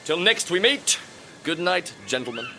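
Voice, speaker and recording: High-pitched, swaggering highwayman. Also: sing-song, normal speed, heavy background noise. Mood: jaunty, bold. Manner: theatrical, mock-courteous, archaic speech. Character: dashing, flamboyant highwayman, gleefully robbing gentlemen. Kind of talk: period audio drama acting.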